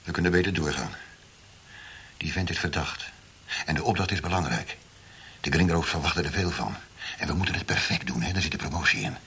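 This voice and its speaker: An actor playing a gruff secret police torturer in a radio drama, defensive and conspiratorial, hesitant when challenged. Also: male voice, extremely low pitch, fairly quick, long pauses, pushed and bright, heavily acted. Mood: brooding. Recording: noisy radio play recording with clicks.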